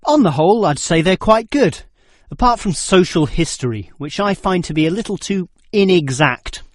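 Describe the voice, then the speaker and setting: A man acting a critical, precise university student in a scripted exam dialogue, speaking deliberately. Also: high-pitched, lively intonation, dry recording. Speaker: student in a listening test dialogue.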